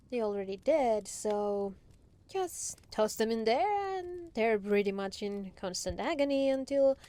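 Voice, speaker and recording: A woman's voice: a little high, dark tone. A woman playing a proud, teasing demon lord in a roleplay ASMR monologue, casually regal and playfully menacing.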